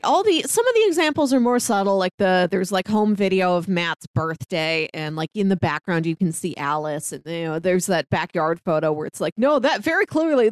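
A woman's voice: mid-range voice, no echo. Woman co-hosting a podcast, dry close sound. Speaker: podcast co-host. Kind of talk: casual film discussion, analytical.

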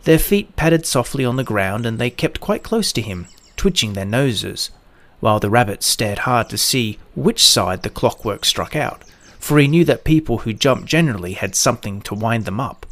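A man's voice: medium pitch, expressive, moderate pace, fairly bright tone, no room sound. A man reading a scripted children's story as its narrator.

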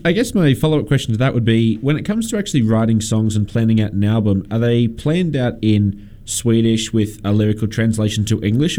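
A man, a radio host asking polite, measured interview questions. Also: lowish voice, mellow. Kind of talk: casual interview.

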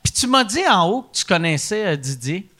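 A man with a Québécois French accent hosting a podcast, asking animated questions, laughing and swearing. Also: high-pitched, brisk. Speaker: podcast host. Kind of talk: casual podcast chat.

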